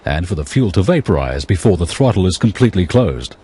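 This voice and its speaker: A man reading scripted technical narration for an educational film in a measured, explanatory, impersonal manner. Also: low voice, very animated.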